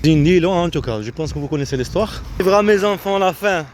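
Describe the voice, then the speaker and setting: A man's voice: sits high, lively intonation, brisk. A man giving a spontaneous, rambling monologue outdoors, repeating himself in fragmented phrases as his thoughts drift. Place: outdoors near road with vehicles. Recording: noisy outdoor street, traffic noise.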